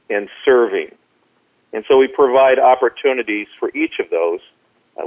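A man of medium pitch gives interview answers as a ministry guest. He explains in a measured way, and his voice comes through a narrow, tinny telephone connection. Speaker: ministry director, radio guest. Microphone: telephone call. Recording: tinny narrow-band phone line.

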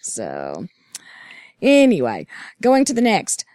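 A hoarse woman hosts a casual chat with a low, throaty voice, sounding enthusiastic despite the strain. The room is dry and close, with no echo. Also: wildly swooping pitch, slow pace, a little mellow. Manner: upbeat, enthusiastic. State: hoarse, lost voice. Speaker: podcast host.

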